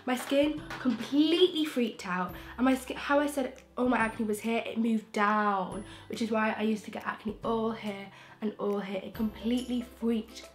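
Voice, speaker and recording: A young woman from England, croaky from a throat infection, chatting frankly and rambling with restarts as a beauty vlogger in her bedroom. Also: fairly high pitch, fairly even intonation, moderate pace. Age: young adult. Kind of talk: casual chatty vlog. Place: bedroom, indoors. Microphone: camera in front, close framing.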